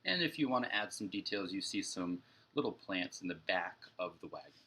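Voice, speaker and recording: Low-voiced young man teaching, slightly rough tone. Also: animated, a little fast, pushed and bright. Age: young adult. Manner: explanatory, addressing young children. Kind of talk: children's story-structure lesson and read-aloud.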